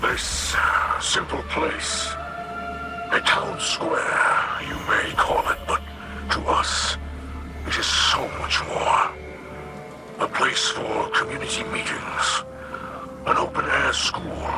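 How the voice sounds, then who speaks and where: A man voicing a wise, gentle alien leader in a video game, solemn and formal, with a breathy growl. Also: medium pitch, full character voice. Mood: reverent, hopeful. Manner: solemn, measured, formal phrasing. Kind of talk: video game cutscene acting.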